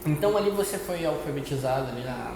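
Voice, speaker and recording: A fairly high-pitched man hosts a casual interview, teasing his guest and tossing in quick remarks. The sound is heavily echoey with a long reverb and clear background noise. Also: expressive. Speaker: host.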